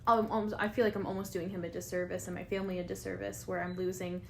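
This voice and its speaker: Young canadian woman, reflective podcast chat, gravelly fry in her voice. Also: on the low side, little pitch movement, fast, a little hiss or hum. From Filipino family, raised in Canada. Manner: reflective, gives frequent "mm-hmm" backchannels. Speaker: podcast co-host. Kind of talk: casual conversation.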